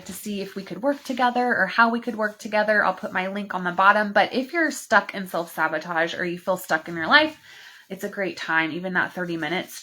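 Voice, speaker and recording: A woman's voice: fairly low pitch, sharp tone, slight background noise, low-quality recording. A woman coach hosting a live stream, chatting to viewers with many fillers and reading oracle cards aloud. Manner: chatty, rambling, filler-heavy, affectionate. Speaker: spiritual mindset coach.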